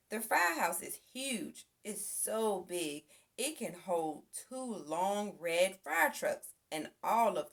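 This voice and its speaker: A woman reading a children's picture book aloud as an expressive storyteller. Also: medium pitch. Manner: expressive, acts out sound effects and exclamations. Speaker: storybook reader.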